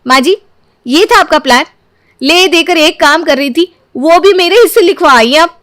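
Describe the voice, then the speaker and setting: An anxious young-family sister-in-law from a cartoon tale, acted by a woman. She pleads and exclaims in a very high voice, close and dry. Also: moderate pace, a bit bright, dry recording. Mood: complaining. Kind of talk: cartoon moral story voice acting.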